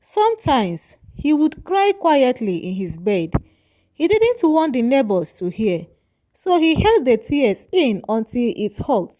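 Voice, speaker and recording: Female folktale narrator: measured and moralizing, unhurried, mellow tone. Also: high voice, sing-song, low-quality recording. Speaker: storyteller.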